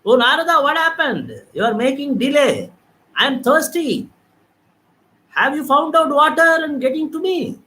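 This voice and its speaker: A man's voice: very high-pitched, big pitch swings, slow pace, long pauses, pushed and bright, pitched above the performer's own voice, exaggerated voice, poor audio. A man voicing the god Krishna, calling out and then scolding firmly. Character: Lord Krishna, divine male god, calm yet commanding.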